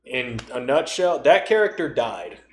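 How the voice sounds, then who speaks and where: A man's voice: medium pitch, sing-song, measured pace. A man talking casually and conversationally as a podcast co-host. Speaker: podcast co-host discussing tabletop role-playing. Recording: roomy echo, noticeable background noise.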